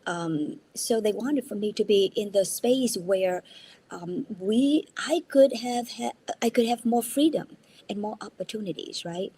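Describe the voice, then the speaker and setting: A woman's voice: mid-range voice, measured pace, warm tone, husky, rough recording. A jet-lagged woman in her fifties from Vietnam, a native Vietnamese speaker, telling her story earnestly as an interview guest on a video call from her mother's house. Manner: earnest, restarts words, tags sentences with "right?". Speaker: guest entrepreneur. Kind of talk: casual interview.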